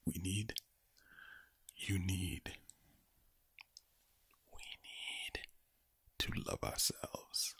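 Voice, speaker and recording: Man's low, raspy ASMR whisper. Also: fairly even intonation, a bit bright. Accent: Irish. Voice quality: low, breathy, gravelly whisper. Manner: slow, deliberate, repeats words and phrases. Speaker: ASMR creator. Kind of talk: whispered ASMR, soothing affirmations.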